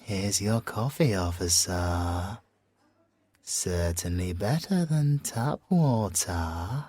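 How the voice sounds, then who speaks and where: A British man playing a suave, flirtatious, possessive siren in an ASMR audio roleplay, teasing and smugly self-assured, with a breathy, husky voice. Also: low voice, animated, a little mellow, no room sound, heavily acted. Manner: slow, drawn-out teasing delivery with whispered phrases.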